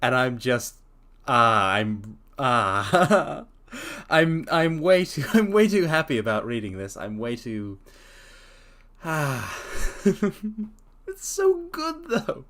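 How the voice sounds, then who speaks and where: A man reads a classic story aloud and stops to gush over it. He talks with eager excitement and often restarts his sentences. His voice sits at an ordinary middle pitch. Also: extremely slow. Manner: excitable, stammers, restarts, laughs. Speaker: streamer reading a story. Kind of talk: dramatic reading and excited chat.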